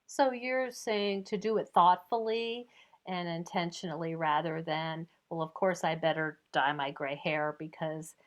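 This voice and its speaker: A woman's voice: lowish voice, fairly even intonation, unhurried. Affirming woman interviewer. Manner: affirming, many soft "mm-hmm"s. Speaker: interview host. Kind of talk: casual interview conversation.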